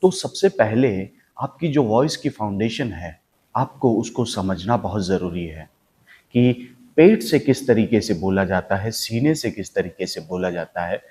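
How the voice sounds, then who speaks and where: A man's voice: fairly low pitch, animated, a little slow, darkish tone. An Indian-accented man speaking as an acting coach, giving an instructional talk in an emphatic way with repeated, parallel phrases. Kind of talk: instructional talk to viewers, demonstrating voice techniques.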